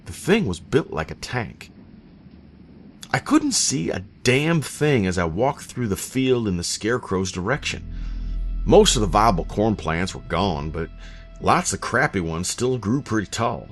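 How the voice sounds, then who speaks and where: A man's voice: lowish voice, big pitch swings, unhurried. A man playing a weary, grumpy old widowed farmer who tells a horror story in a folksy, wry, self-deprecating way. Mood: dry, weary. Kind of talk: first-person horror story narration. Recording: voice over background music.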